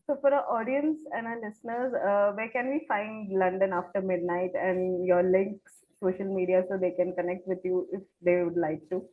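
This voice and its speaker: A woman's voice: mid-range voice, steady tone, moderate pace, narrow, tinny audio. A woman hosting an interview in a polite, conversational style, heard through thin call audio. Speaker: podcast interviewer.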